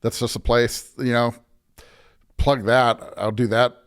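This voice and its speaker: An American man who is a pastor, talking informally and a bit haltingly as a guest in a casual interview. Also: mid-range voice, little pitch movement, smooth.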